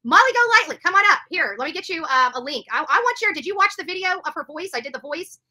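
A woman's voice: very high-pitched, sing-song, fast, bright, forceful tone. A middle-aged woman hosting a livestream, giving indignant commentary in an emphatic way, repeating phrases for stress. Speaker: livestream host. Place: bedroom, indoors.

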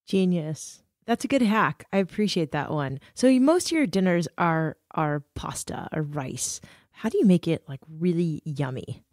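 A woman's voice: on the low side, expressive, normal speed, darkish tone, no echo, clean studio sound. A woman hosting a podcast, asking curious, upbeat questions. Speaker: podcast host. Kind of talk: interview questions.

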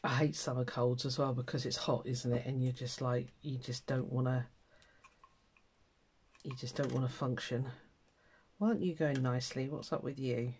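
A woman's voice: rumbling low, fairly even intonation, normal speed, a little mellow, breathy edge. An older woman with a cold and a stuffy nose, chatting casually to her viewers while she packs sewing kits at a table. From England. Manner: chatty, self-deprecating, talks while working with her hands. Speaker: craft channel host. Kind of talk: casual chat while crafting. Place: indoors at a table, hands-only overhead camera.

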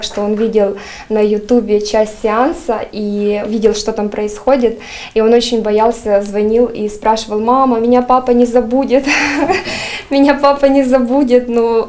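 Young woman, interview testimonial, dark low-key timbre, halting earnest delivery on a lapel mic. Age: young adult. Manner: earnest, hesitant with many fillers. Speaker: patient's wife giving a testimonial. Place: studio, plain dark backdrop.

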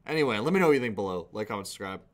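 A man's voice: on the low side, lively intonation, very fast. A young man with a Canadian accent giving casual, exasperated, sweary commentary as a reaction video host. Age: young adult. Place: small indoor room at a computer.

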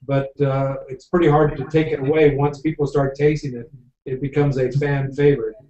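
A man's voice: medium pitch, flat delivery, moderate pace, mellow. An older man who owns a winery, chatting at length with many fillers while hosting a live tasting over a video call from a home office. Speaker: winery owner. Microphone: video call webcam. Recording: dull, echoey webcam audio from far off.

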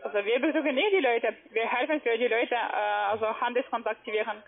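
A man working as a scam caller on the phone, halting and insistent, with broken grammar. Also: very high voice. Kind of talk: phone conversation. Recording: tinny phone line.

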